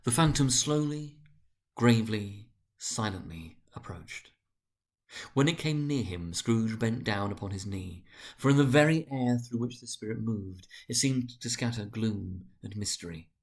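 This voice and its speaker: A man narrating a story dramatically, with a grave, deliberate and theatrical delivery. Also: lowish voice, animated.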